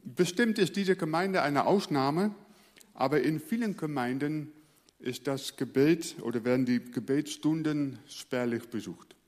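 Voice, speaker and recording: A male pastor from the Netherlands, a native Dutch speaker, preaching earnestly and letting his phrase endings fall quiet. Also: fairly high pitch, measured pace, in a large hall. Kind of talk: sermon.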